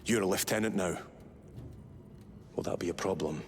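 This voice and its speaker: An actor playing a stern, blunt space marine captain in a video game, with a curt, commanding manner. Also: male voice, low voice, moderate pace, a little hiss or hum. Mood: stern, guarded. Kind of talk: cinematic video game dialogue.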